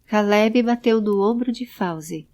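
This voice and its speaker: A woman's voice: medium pitch, a little slow, dark tone. A woman narrating a scripted audio drama. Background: background music.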